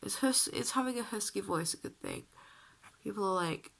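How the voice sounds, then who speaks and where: Husky, croaky woman with a cold. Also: moderate pace, a bit bright. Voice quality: deep husky voice. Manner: chatty and self-deprecating, sighing. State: ill, croaky. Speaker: beauty vlogger. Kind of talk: casual chat to camera.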